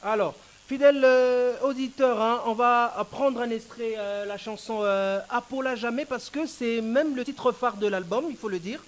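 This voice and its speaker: A man hosting a radio interview, talking to his listeners and filling pauses with drawn-out fillers. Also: extremely high pitch. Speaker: radio host.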